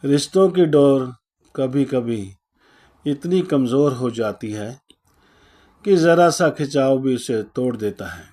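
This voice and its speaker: A man narrating a scripted moral story in a solemn, deliberate manner with frequent pauses. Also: mid-range voice, animated, dark tone.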